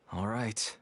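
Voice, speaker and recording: A man's voice: lowish voice, pushed and bright, breathy edge, exaggerated voice. An actor playing a worried, cautious father and reluctant informant in a video game. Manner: uneasy, cautious. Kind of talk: video game dialogue acting. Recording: game music behind the voice. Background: game music.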